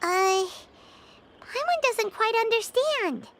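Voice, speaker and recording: A woman voicing a tiny, chatty, childlike fairy companion in a video game, squeaky and animated. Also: slow, very noisy, heavily acted. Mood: puzzled. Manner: talks about self in third person, animated. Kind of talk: scripted video game voice acting. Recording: loud music behind game dialogue.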